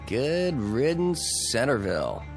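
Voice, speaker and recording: A man's voice: mid-range voice, huge pitch swings, takes it slowly, over music, heavily acted. A man acting in a radio drama as a wisecracking, stubborn everyman traveler, with a wry, emphatic delivery. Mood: breezy, dismissive.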